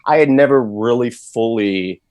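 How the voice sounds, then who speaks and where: A man's voice: medium pitch, unhurried, a little mellow, no room sound, studio quality. A man, a voice actor, talking casually and thoughtfully in an interview, with many fillers and restarts. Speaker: voice actor guest.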